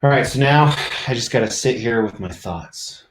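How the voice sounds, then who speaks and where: A man's voice: medium pitch, lively intonation, normal speed, roomy sound, slight background noise, low-quality recording. A middle-aged man live streaming, chatting casually and rambling to his viewers.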